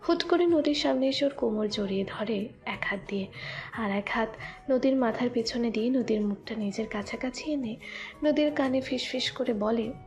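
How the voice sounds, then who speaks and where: A woman's voice: fairly high pitch, normal speed, a little mellow, soft background music. A woman narrating a scripted romantic audio story in the third person.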